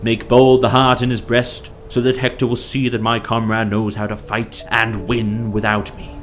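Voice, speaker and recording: A man's voice: medium pitch, level tone, moderate pace, natural voice. Proud ancient warrior praying aloud, played by a male narrator, declamatory and fervent, music behind. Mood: fervent, pleading.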